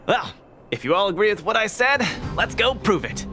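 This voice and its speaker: Young hero brawler, game: lightly high, very animated, confident, good-hearted. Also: male voice, normal speed. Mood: eager, casual. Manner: confident, breezy, eager. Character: cocky, upbeat young male champion fighter, good-hearted. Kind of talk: video game voice acting. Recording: game music under the voice.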